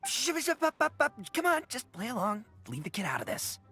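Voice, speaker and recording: An actor playing a brash, boisterous young oni gang boss in a video game, cocky, blustering and theatrical. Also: male voice, extremely high pitch, huge pitch swings, brisk, pushed and bright, husky, soft background music, exaggerated voice. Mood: flustered, playful. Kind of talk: video game character acting.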